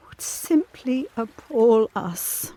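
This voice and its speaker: A woman's voice: a little high, lively intonation, very slow, dark tone, full character voice, low-quality recording. A woman playing an anxious, obsessive governess in a gothic audio drama, speaking formally and intensely. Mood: grave certainty. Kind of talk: audio drama acting, period literary dialogue. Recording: music playing behind the voice.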